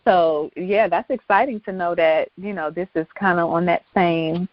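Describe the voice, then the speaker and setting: A woman's voice: on the low side, moderate pace. A woman hosting a talk show, chatting casually and informally in an encouraging way, often repeating her phrases. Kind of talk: casual interview chat. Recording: dull lo-fi sound.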